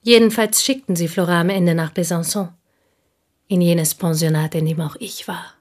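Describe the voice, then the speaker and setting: Actress as a husky, bitter village spinster. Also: female voice, lowish voice, steady tone, moderate pace, lightly acted. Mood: proud, insistent. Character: bitter, proud, unmarried village woman, fiercely possessive of her friend. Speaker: radio drama actress. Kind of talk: German radio play acting.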